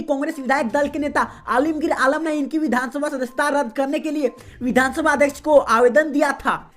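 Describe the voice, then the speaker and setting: A woman reading the day's regional news as a host, in a steady, formal newsreader delivery. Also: high-pitched. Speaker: news reader. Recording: faint music behind voice.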